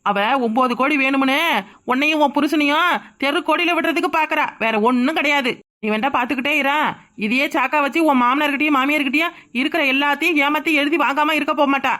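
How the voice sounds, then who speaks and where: Sharp-tongued schemer, played by a woman, high and fast, scornful. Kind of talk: village family comedy skit.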